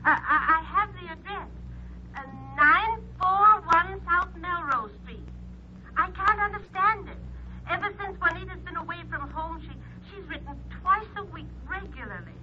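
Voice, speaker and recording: High-voiced, flustered, worried mother. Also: normal speed. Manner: hesitant, stammering, flustered. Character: anxious small-town mother phoning about missing daughter. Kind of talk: 1940s radio drama acting. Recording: muffled, noisy old radio recording.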